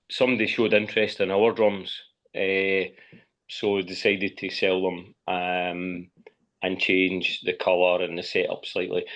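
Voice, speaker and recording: A Scottish man with a lowish voice chats as a podcast guest, the audio a little dull. His answers come out hesitant and matter-of-fact, in an informal conversational style. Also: a bit bright. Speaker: podcast guest, pipe band lead drummer. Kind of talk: casual interview chat. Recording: slightly dull, muffled audio.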